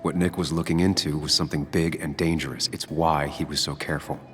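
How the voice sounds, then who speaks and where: An actor playing a brooding, methodical investigator in a video game, thinking aloud in a deliberate, wary way. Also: male voice, very deep voice, steady tone, a bit bright, soft background music. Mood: pensive, suspicious. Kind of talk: video game acting, introspective monologue.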